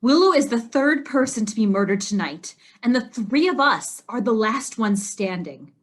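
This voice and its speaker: High, husky, earnest female amateur detective, acted by a woman. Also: lively intonation, normal speed. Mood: stern, accusing. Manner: stern, confrontational. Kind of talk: audio drama acting.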